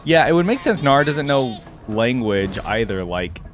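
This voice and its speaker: A man gives casual reaction commentary. His voice sits at a middle pitch, and he muses aloud conversationally as he watches, with soft music in the background. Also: very animated, measured pace. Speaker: reaction video host.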